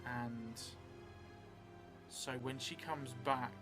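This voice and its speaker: A middle-aged man thinking aloud as he improvises a story for a solo role-playing game, halting and musing. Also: mid-range voice, fairly even intonation. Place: small indoor room at a table. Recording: music behind the voice.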